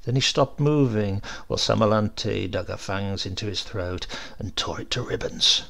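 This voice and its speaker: A man reading a classic short story aloud in a measured, dramatic storytelling manner. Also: fairly low pitch, expressive, gravelly, a bit bright. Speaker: narrator.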